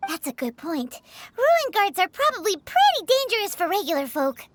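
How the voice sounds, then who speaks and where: A woman's voice: very high voice, sing-song, moderate pace, noisy background. A woman voicing a small, childlike fairy companion in a video game, cheeky and chatty with a perky, exclamatory manner. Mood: confident. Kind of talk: English video game voice acting. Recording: music behind the voice.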